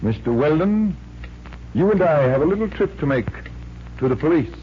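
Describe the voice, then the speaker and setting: A man's voice: fairly high pitch, lively intonation, moderate pace, lightly acted. Guy acting as confident magician detective, 1940s radio drama, swoopy showman delivery, faint hiss. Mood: assured. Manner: explanatory, assured showman. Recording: muffled, hissy 1940s radio recording.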